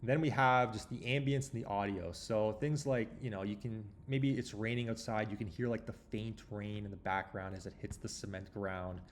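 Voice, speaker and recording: A man's voice: fairly low pitch, steady tone, moderate pace, few pauses, a little hiss or hum. A man hosting a tutorial, talking informally through a walkthrough and explaining as he thinks aloud. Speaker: tutorial host. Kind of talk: casual screen walkthrough tutorial.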